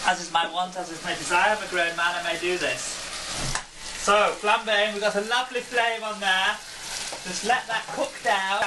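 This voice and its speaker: A deadpan Englishman cooks at home with a mock-pompous air. He stands some way from the microphone. Loud sizzling from the pan fills the background. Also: sits high, noisy background. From England. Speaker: home cook. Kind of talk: comic cooking demonstration. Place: home kitchen. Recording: distant mic, loud kitchen sizzle. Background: frying food sizzling.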